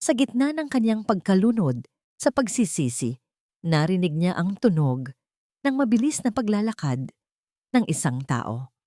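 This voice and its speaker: A woman's voice: mid-range voice, sing-song, a little slow, mellow, no echo, clean studio sound. A woman narrating a scripted drama story in a solemn, melodramatic storytelling manner.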